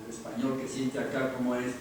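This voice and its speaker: A man's voice: mid-range voice, level tone, brisk. A man interviewing a writer, chatty and full of follow-up questions. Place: large room at an award ceremony. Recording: echoey room, far from mic, noisy.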